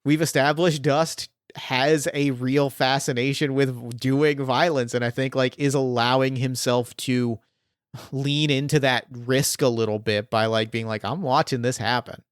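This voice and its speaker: A man's voice: mid-range voice, steady tone, measured pace, clear, resonant tone, dry recording. Guy gm-ing a tabletop game, casual, stops and restarts a lot, dry close mic. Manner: casual, hesitant, lots of restarts. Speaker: game master.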